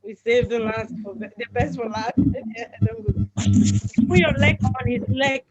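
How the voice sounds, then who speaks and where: A woman's voice: fairly high pitch, moderate pace, muffled recording. A woman hosting a couples panel at a live event, playfully putting questions and echoing answers back with exclamations. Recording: noisy live event recording with clicks.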